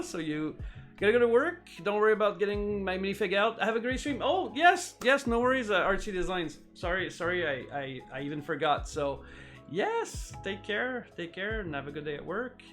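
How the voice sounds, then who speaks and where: A man with a somewhat high voice, whose first language is French, talks casually on his live stream. He rambles with lots of fillers and restarts. Faint music plays behind him. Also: animated, moderate pace, fairly bright tone. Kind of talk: casual live-stream chat while building.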